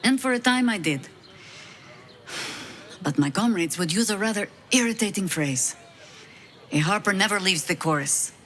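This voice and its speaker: A woman's voice: mid-range voice, animated, fairly bright tone, natural voice. Woman acting a wry, weary veteran adventurer woman. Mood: weary, self-mocking. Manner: wry, measured, self-deprecating. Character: weary, wry veteran high-ranking woman harper agent. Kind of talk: video game dialogue acting, reflective storytelling.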